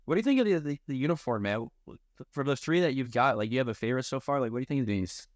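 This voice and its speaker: A man's voice: mid-range voice, expressive, rapid-fire, no echo, studio quality. A man hosting a podcast, asking chatty, wordy interview questions in an informal way. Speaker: podcast host.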